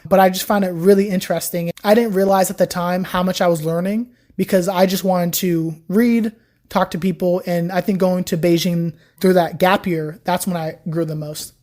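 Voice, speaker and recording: A woman's voice: on the low side, normal speed. Chatty young woman telling a story. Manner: chatty, animated storytelling with frequent "like". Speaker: podcast guest, Chinese learner. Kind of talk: casual interview chat.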